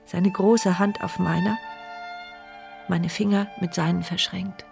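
An actress playing a tender, resilient woman who narrates her inner thoughts in a German radio crime drama, reflective, intimate and measured. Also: lowish voice, steady tone, gravelly, darkish tone, faint music behind, heavily acted. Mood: tender narration.

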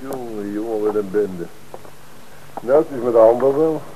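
An actor playing a practical detective who assists the commissioner, speaking in a matter-of-fact way in a radio drama. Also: male voice, mid-range voice, normal speed, background noise, close to an everyday voice.

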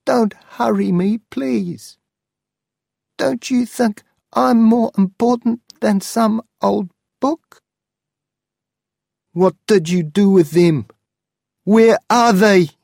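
A man's voice: sits high, slow pace, gravelly, long pauses, darkish tone, dry recording, clean studio sound, pitched above the performer's own voice, heavily acted. A man doing a frail, pleading old aunt. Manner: pleading, anxious.

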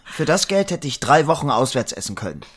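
An actor playing a young, downtrodden bookkeeper husband in a radio drama, hesitant and husky, easily riled. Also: male voice, a little high, sing-song. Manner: hesitant, halting under pressure. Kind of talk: German radio play acting.

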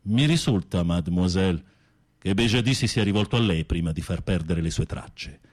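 A man's voice: low-pitched, animated, moderate pace. A man voicing a stern French police inspector, formal and commanding, as in film dialogue retold within a radio play.

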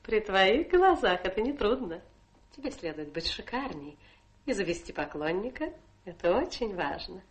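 A woman's voice: medium pitch, very animated, moderate pace, lightly acted. Coquettish, self-assured young society lady played by an actress. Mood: gushing, patronising. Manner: coquettish, mocking, affected. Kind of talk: stage-trained radio play acting.

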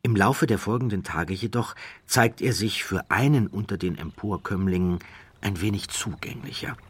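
A man reading a literary audiobook as a scripted narrator, with measured, deliberate phrasing and dramatic pauses. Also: on the low side, slightly rough, dry recording.

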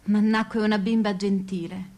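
An actress playing an asylum inmate, reciting poetry as a solemn, incantatory chorus in a radio play. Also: female voice, medium pitch, level tone, moderate pace, a little mellow, natural voice. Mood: solemn, sorrowful. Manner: solemn, incantatory, repeating lines. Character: female asylum inmate in an echoing poetic chorus. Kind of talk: poetic theatrical recitation.

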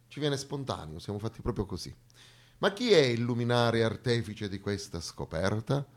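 A man's voice: medium pitch, big pitch swings, moderate pace. A man performs satirical theatre. He delivers a mock lecture, breaking off for ironic asides to the audience. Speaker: stage performer, satirical monologist.

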